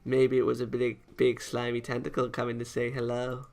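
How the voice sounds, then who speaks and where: A man's voice: medium pitch, monotone, normal speed, vocal fry, darkish tone, faint music behind, natural voice. A man voicing a cheerful, mischievous young anime girl in a visual novel, teasing in a sing-song, playful way.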